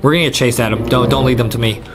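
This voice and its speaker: A young man hosting a gaming video with excited, repetitive commentary, talking straight to his viewers. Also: medium pitch, very fast. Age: young adult. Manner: animated, repetitive, addressing viewers. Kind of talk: excited let's-play commentary. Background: game music.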